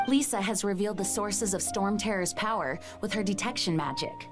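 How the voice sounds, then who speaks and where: A woman's voice: mid-range voice, a little fast, natural voice. A woman voicing an earnest, dutiful knight commander in a video game, formal and resolute. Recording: game music behind the voice.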